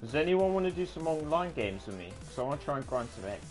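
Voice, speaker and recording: A man's voice: on the high side, sing-song, fast, darkish tone, faint music behind. A man in his twenties streaming a game, chatting casually with his viewers between plays. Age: 20s. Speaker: gaming streamer. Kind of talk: casual live-stream chat while playing.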